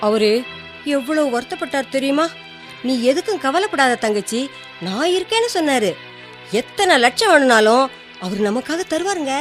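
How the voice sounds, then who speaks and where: An anxious, trusting wife in a radio drama. Her voice sits fairly high, earnest and emotional, with clear music playing behind her. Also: lively intonation, moderate pace. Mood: hopeful, urging. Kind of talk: Tamil radio drama acting.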